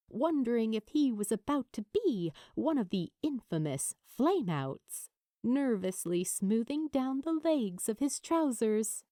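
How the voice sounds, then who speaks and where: Expressive high-pitched woman narrator. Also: no room sound, clean studio sound. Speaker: audiobook narrator. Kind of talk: expressive scripted fiction narration.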